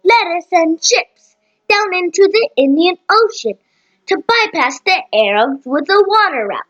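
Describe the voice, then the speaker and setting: A woman acts as an anxious, suspicious emperor in an audio play. The voice is very high, and the lines come out slowly and declaimed. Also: sing-song, fairly bright tone, no echo, highs cut off, exaggerated voice. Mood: regal, concerned. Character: Emperor Justinian, male ruler, anxious and suspicious. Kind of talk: amateur audio play acting.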